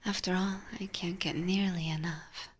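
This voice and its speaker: A woman's voice: lowish voice, fairly even intonation, slight background noise, lightly acted. A woman voicing a seductive, teasing vampire catgirl in an ASMR roleplay, whispering breathily and coaxingly right up close to a binaural microphone. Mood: playful, teasing. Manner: slow, coaxing, playfully menacing. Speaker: streamer playing a character for live chat.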